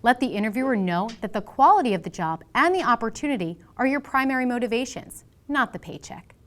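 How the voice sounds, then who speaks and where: A woman's voice: a little high, lively intonation, fast talker, fairly bright tone. A woman delivers a scripted instructional presentation as a career coach, emphatic and in short, punchy phrases.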